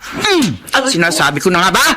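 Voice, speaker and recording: A woman's voice: on the low side, big pitch swings, normal speed, sharp tone. An actress playing an exasperated mother who nags and exclaims in a Tagalog radio drama. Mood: reproachful. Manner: nagging, exclamatory. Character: exasperated, scolding mother of grown children.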